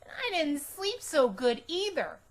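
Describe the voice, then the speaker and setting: A woman reading a children's book aloud as a storytime reader, expressive and deliberate, telling it in a childlike first person. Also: high-pitched.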